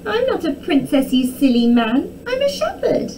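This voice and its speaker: A mischievous young storybook princess voiced by a woman, pitched high and swooping. She is bossy and brazenly sweet, with a lot of force behind her words. The recording is distant with some noise. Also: sing-song, unhurried, warm tone, exaggerated voice. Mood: snappish. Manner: shrieking false alarms, sweetly brazen.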